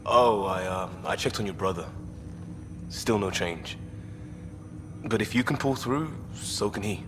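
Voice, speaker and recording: A man's voice: lowish voice, expressive, measured pace, background noise. A young man playing a friendly, encouraging space crewmate in a video game, informal and supportive. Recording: long reverb, music behind.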